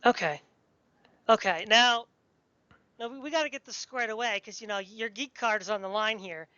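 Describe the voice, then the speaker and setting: A woman with a fairly high voice hosts a casual podcast interview. She talks with geeky enthusiasm. The audio is close and dry but somewhat dull and lo-fi. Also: normal speed, bright, forceful tone, dry recording.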